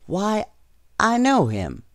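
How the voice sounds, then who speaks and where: Man voicing an eager small-town boy, pitched up. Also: huge pitch swings, takes it slowly, no echo, clean studio sound, heavily acted. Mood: eager surprise.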